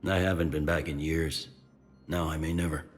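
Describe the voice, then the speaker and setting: A jaded, gruff officer of a sci-fi game. He speaks bluntly in everyday slang. The pitch is deep, with soft music underneath. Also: little pitch movement, normal speed. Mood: weary grumbling. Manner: blunt, colloquial.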